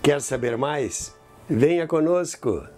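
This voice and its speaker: A man's voice: on the high side, expressive, takes it slowly, over music. A Brazilian man hosting a history podcast, telling a scripted story in a theatrical way with conversational asides. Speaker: host and narrator of a radio history podcast.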